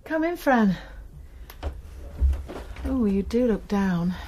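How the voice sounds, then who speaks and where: An actress playing a kind, probing college nurse who turns amateur sleuth in a radio crime drama, speaking gently and often in a hush. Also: female voice, mid-range voice, expressive, slow, slight background noise. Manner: gentle, questioning, often hushed.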